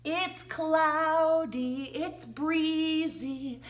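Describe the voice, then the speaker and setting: A woman's voice: high-pitched, extremely slow, faint music behind. An American woman leading an interactive read-along and sing-along for young children, with playful, exaggerated delivery, gasps and questions.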